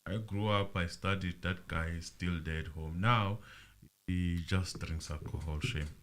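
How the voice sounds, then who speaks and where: A man's voice: low-pitched, unhurried, a bit bright. A man hosting a casual talk show, speaking earnestly and emphatically. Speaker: host.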